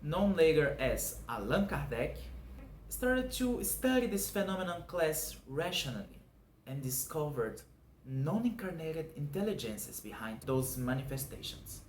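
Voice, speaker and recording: A young Brazilian man with a clear Brazilian Portuguese accent talks through a scripted explanation, earnest and measured. His pitch moves a lot, with lively rises and falls. He sounds roomy and echoey, with a faint hum of background noise. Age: 20s. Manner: earnest, measured, lists points one by one. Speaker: channel host explaining a doctrine.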